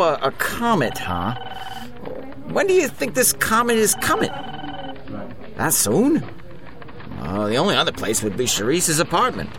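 A man playing a harried, offhand fixer muttering slangy, halting talk in a comedic audio drama. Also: a little high, heavily acted. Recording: clear background noise.

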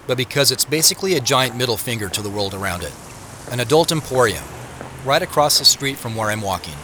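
A man's voice: mid-range voice, pushed and bright, slight background noise. An actor playing a harried, self-conscious man in an audio drama, speaking in a wry, confiding way. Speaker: narrator and lead actor. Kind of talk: first-person audio drama narration and acting. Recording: produced audio drama with music, street ambience.

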